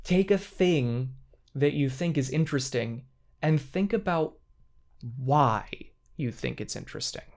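A man co-hosting a casual podcast chat about tabletop games, enthusiastic, talking in quick bursts with playful asides. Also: on the high side, lively intonation, vocal fry, a little mellow.

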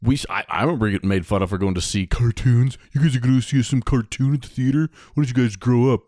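A man's voice: mid-range voice, fast talker, a little mellow, no room sound, studio quality. A middle-aged man chatting casually as a podcast co-host about things he follows. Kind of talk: casual conversation about movies and games.

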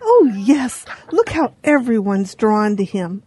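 A woman voicing an excitable, motherly female farm pig in a children's audio drama, gushing and dramatic. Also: on the high side, slow pace, slightly rough, full character voice. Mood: admiring.

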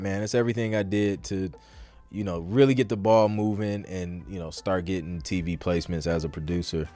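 A man with a fairly low voice talks casually as the host of a live Q&A podcast. His delivery is informal and slangy, wandering conversationally like a working producer giving advice. Some music plays faintly behind him. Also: darkish tone, no room sound.

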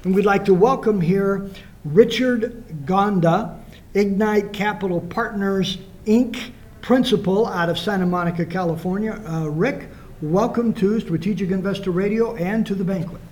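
High-pitched male radio interviewer. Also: darkish tone. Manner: halting, many fillers and restarts. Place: banquet hall.

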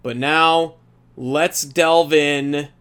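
A man's voice: fairly high pitch, steady tone, extremely slow, fairly bright tone, clear, resonant tone. A man leads a casual review podcast. He speaks emphatically and with strong opinions. He slips into mock quotations as he retells things. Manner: emphatic, mock-quoting storyteller. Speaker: podcast host leading an anime review.

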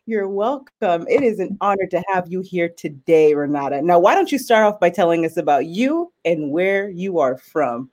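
A woman's voice: lowish voice, lively intonation, normal speed, hardly any pauses, a little mellow. A woman hosting a podcast, welcoming her guest with polite introductions. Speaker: podcast host. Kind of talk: podcast interview.

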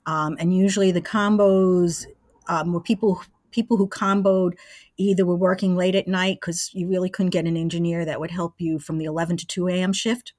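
A woman in her sixties talks as an interview guest, reminiscing in a conversational way. Her voice sits a little low for a woman and the sound is very dry, with no room around it. She explains how things worked one step at a time. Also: level tone, normal speed, darkish tone, no room sound. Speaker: interview guest, former college radio engineer.

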